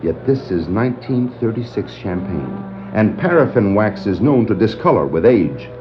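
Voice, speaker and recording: An actor playing an earnest, commanding superhero detective with stagey, declarative delivery on a 1960s children's adventure record. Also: male voice, on the low side, sing-song, moderate pace, music in the background. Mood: thoughtful. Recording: dull 1960s vinyl record dramatization.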